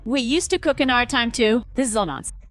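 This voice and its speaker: Shrill, scornful older mother-in-law played by a woman. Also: a little fast, fairly bright tone, no room sound, clean studio sound, close to an everyday voice. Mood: dismissive. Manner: mocking, dismissive.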